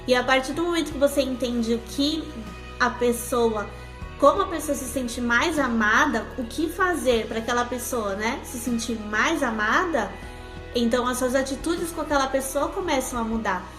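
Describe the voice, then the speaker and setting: High-voiced Brazilian woman giving family advice. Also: normal speed, fairly bright tone, soft background music. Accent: Brazilian Portuguese. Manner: earnest, explanatory, tags sentences with "né?". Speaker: co-host, mother speaking about family life.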